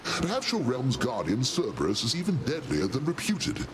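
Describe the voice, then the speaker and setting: An animated, hearty sea-god uncle. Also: male voice, medium pitch, normal speed, very noisy.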